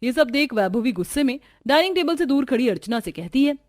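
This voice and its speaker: A woman giving scripted narration for an animated family story. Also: high voice, a little fast.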